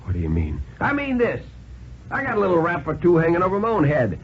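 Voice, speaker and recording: A man's voice: a little high, huge pitch swings, fast, muffled recording, slight background noise, voice pushed up. A man acting a sly, uneducated tramp with a mocking, slangy rustic drawl in a 1950s radio reading. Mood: sly, threatening. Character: jeering, folksy male tramp, sly and uneducated.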